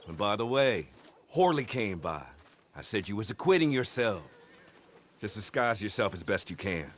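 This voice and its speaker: Low-voiced, rugged outlaw planner. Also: male voice, very animated, normal speed, a bit bright. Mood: confident, wry. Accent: American. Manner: plain-spoken, instructing. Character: rugged, determined Old West outlaw gang organiser. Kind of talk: western video game acting.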